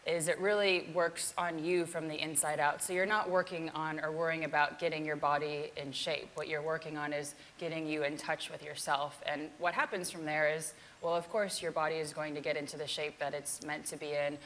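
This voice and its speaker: A woman's voice: low voice, little pitch movement, a little fast, hardly any pauses, fairly bright tone, slight background noise. A woman yoga teacher giving a casual keynote talk with a live demonstration, chatty and self-deprecating.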